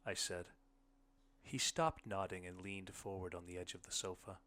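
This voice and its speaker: A man's voice: low voice, moderate pace, bright, forceful tone. A man reading a first-person short story aloud as an audiobook narrator. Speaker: audiobook reader.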